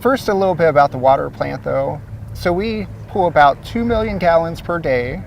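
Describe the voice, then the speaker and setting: An easygoing man with a slightly high voice explains things step by step and leans on small fillers. He is recorded outdoors by a lake, a little away from the mic, with faint noise behind him. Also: expressive, normal speed, vocal fry, recorded from a distance. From Ohio. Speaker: source water protection coordinator, guest. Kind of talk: explanatory interview answers.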